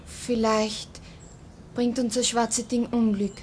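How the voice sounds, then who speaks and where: An apprehensive woman with a fairly high voice. She is a superstitious wife in a 1960s radio play. The old mono recording is faintly noisy. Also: level tone, takes it slowly, darkish tone. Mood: uneasy.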